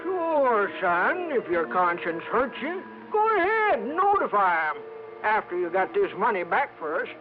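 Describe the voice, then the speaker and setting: High-pitched folksy oil tycoon. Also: male voice, big pitch swings, measured pace. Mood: folksy, coaxing. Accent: American. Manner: folksy, ungrammatical, blustering. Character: folksy, brash rich oilman, gleefully shady business owner. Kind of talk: 1950s radio drama acting. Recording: dull vintage radio recording with music.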